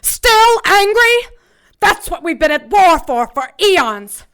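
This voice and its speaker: High-voiced, defiant righteous angel. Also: female voice, sing-song, takes it slowly, fairly bright tone, exaggerated voice. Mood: furious. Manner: haughty, emphatic. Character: defiant, righteous, wrathful female angel on trial. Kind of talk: audio drama acting.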